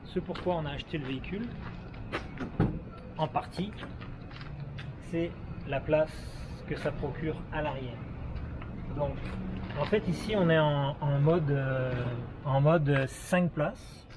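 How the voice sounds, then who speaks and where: A man's voice: a little high, takes it slowly, long pauses. A man in his thirties with a Québécois French accent, casually showing off a new car and explaining its features, hesitating often with fillers and restarts. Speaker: travel vlogger. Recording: noisy outdoor ambience with vehicle sounds.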